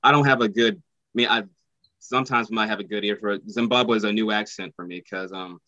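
A man's voice: fairly low pitch, fairly even intonation, brisk, sharp tone, dry recording, lo-fi sound. A man, an actor, answering interview questions casually and hesitantly, full of fillers. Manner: hesitant, many fillers like "you know". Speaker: actor guest.

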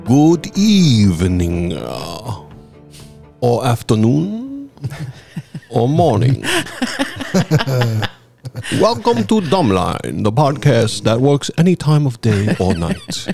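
A man voicing a suave Dracula-style vampire with a slow, drawn-out theatrical delivery. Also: mid-range voice, very animated, vocal fry, over music, exaggerated voice.

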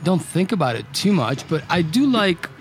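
A man from Chile, a photographer, chatting as a podcast guest, relaxed and a bit hesitant. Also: on the high side, moderate pace, slight background noise. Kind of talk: casual chat.

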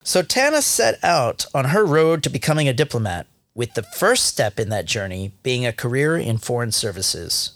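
A man's voice: medium pitch, very animated, normal speed, few pauses, no room sound. A man narrating a career podcast from a script, with a playful, wry tone. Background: soft music.

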